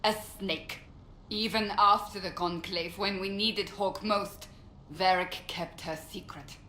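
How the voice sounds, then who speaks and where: Fierce, accusing warrior, echoey room. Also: female voice, medium pitch, fairly even intonation, slow, bright, forceful tone. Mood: bitter, accusing. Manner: intense, accusatory. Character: fierce, zealous female warrior, betrayed and accusing. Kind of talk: video game character acting. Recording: roomy echo, faint noise.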